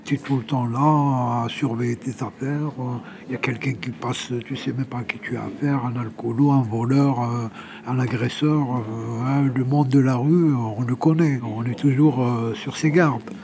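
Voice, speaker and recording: A man's voice: medium pitch, fairly even intonation, moderate pace, crowd noise. A man gives a personal testimony in informal, conversational language, repeating words as he searches for the next. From Algeria, Kabyle. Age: 60s. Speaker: interviewee, exiled journalist living homeless.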